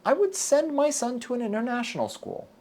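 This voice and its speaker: A man from Texas, a native English speaker and university lecturer, giving a measured, earnest scripted talk to camera. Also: extremely high pitch, lively intonation, a little mellow. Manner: measured, earnest, deliberate delivery.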